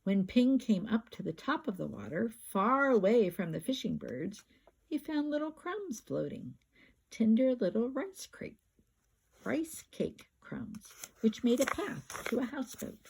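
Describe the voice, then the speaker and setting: An older woman with a husky voice narrates a children's story at a very unhurried pace. She reads expressively, stretching out words and leaning on repeated phrases, as a grandmother would. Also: a little high, rough recording. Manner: slow, expressive storytelling with drawn-out words and emphatic repetition. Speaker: grandmother reading aloud. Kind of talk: children's picture book narration.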